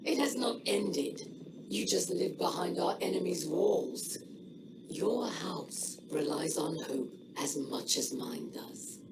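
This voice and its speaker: A woman voicing a proud, weary alien leader in a video game cutscene, solemn and deliberate, with a breathy rasp. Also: fairly low pitch, expressive, heavy background noise, full character voice. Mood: insistent.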